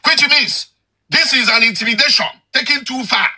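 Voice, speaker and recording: Urgent man, high bright husky voice. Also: normal speed. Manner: urgent, repetitive, switching between Igbo and English. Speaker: Igbo-language broadcaster. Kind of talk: impassioned appeal in Igbo mixed with English.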